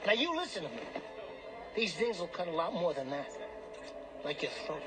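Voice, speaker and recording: An actor playing a brash, cocky small-time hustler and gambler in a radio drama. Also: male voice, a little high, very animated, normal speed, music in the background. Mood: irritated, cocky. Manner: wheedling, cocky, streetwise wise-guy talk.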